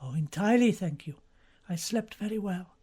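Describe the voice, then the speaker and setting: A man voicing a gentle, devout cardinal who speaks in a polite, formal, soft-spoken manner. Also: high-pitched, animated, normal speed, breathy edge, voice pushed up.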